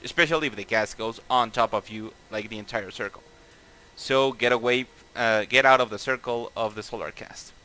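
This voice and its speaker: A man's voice: mid-range voice, sharp tone, poor audio. A man with a Mexican accent leading a game raid over voice chat, explaining boss tactics casually with swearing and lots of fillers. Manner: casual, profane, many fillers and restarts. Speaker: raid leader.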